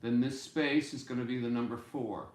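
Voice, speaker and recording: A man in his sixties giving an informal music lesson, explaining deliberately and step by step in a small room. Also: mid-range voice, a little mellow. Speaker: music teacher. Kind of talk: informal instructional explanation at a whiteboard. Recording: roomy, echoey home room sound.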